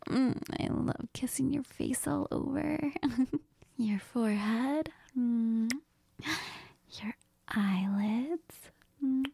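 A woman's voice: a little high, extremely slow, mellow, no room sound, heavily acted. A woman playing a needy, cuddly girlfriend in an intimate audio role-play, whispery and tender, with hesitant murmurs. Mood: affectionate, playful. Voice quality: breathy, whispery. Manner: hesitant, giggly, tender, murmuring with Spanish endearments. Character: needy, cuddly, affectionate girlfriend who missed her partner.

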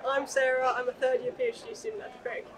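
A woman's voice: high-pitched, expressive, fast, fairly bright tone, recorded from a distance. A young woman from Austria, a PhD student, answering questions thoughtfully with small fillers and restarts. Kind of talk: answering interview questions to camera. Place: large open atrium, indoors.

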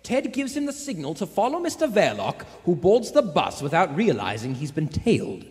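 A man's voice: high-pitched, wildly swooping pitch, moderate pace, darkish tone. A man playing the narrator of a suspenseful vintage radio play. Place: theater stage before a live audience. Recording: echoey theater stage, music behind.

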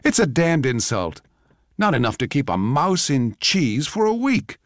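A man voicing a brash young composer in a radio drama, impulsive and exclamatory, excitable in both indignation and gushing feeling. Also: on the high side, a little slow, dry recording, studio quality, voice pushed up. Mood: indignant.

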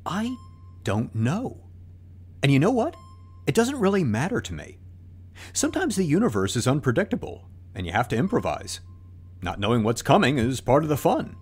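Male actor as carefree filmmaker, husky, melodramatic, very animated pitch, audio-comedy style. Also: normal speed. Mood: breezy, dramatic. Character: dramatic, carefree male documentary maker, self-narrating adventurer. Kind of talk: podcast comedy-adventure acting.

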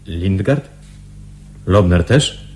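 Deep-voiced, puzzled undercover agent. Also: male voice, lively intonation, extremely slow, full character voice. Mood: bewildered. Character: puzzled, observant male undercover intelligence agent. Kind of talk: radio drama acting.

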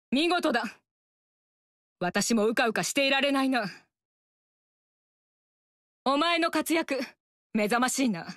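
A proud young warrior woman, commanding and firm, with a high, lively voice. She speaks with slow, measured weight. The studio sound is dry and close. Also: no echo, exaggerated voice. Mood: approving. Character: proud young female warrior, a warlord's daughter. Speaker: game character. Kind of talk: game voice lines.

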